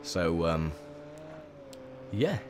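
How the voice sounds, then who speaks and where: Deep-voiced man, croaky throat. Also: sing-song, drawn-out words, slight background noise. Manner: chatty, rambling with many ums. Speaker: gaming video host. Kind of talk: casual gameplay commentary.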